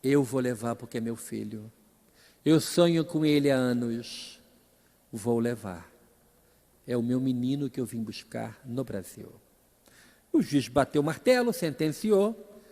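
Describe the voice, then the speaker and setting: A man's voice: medium pitch, slow, pauses often. Brazilian man lecturing in a reverberant hall. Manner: dramatic delivery with emphatic rises and hushed drops. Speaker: speaker at a Spiritist seminar. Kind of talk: storytelling public lecture.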